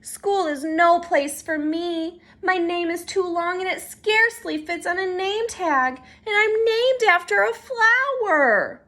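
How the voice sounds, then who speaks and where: A woman's voice: very high voice, animated, slow, hardly any pauses, bright, forceful tone, voice pushed up, full character voice. A woman voicing a sensitive, earnest little girl from a children's storybook, eager and easily crushed. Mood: dejected.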